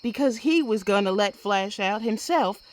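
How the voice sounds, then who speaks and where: An actress playing a sharp, defiant Old West woman sheriff with folksy speech, in a western audio drama. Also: medium pitch, expressive, moderate pace, close to an everyday voice. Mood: commanding. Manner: folksy frontier talk, assertive. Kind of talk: western comic-book audio drama acting.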